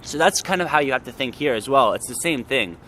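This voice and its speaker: A man's voice: medium pitch, fast, a little hiss or hum. Chatty Canadian man outdoors. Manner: relaxed, talkative, many fillers. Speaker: host. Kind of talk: casual conversation.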